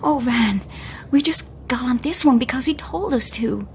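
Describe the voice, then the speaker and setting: A woman playing a shy, anxious young honeymooning wife in an audio drama, fretting in a hushed, breathy, naturalistic way. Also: high-pitched, normal speed, a little hiss or hum.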